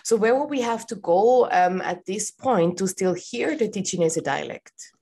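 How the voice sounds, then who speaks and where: A woman's voice: mid-range voice, animated, moderate pace, dark tone. A woman hosting a podcast, asking interview questions and listening with frequent murmured agreement. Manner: attentive, frequent "mm-hmm" backchannels.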